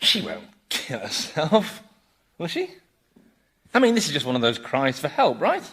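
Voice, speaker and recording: A vain, excitable businessman in a radio comedy. His voice is very high for a man and leaps around, stammering into whiny self-defence. Some ambient noise sits behind him. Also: unhurried, heavily acted. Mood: uneasy. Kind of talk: radio sitcom acting.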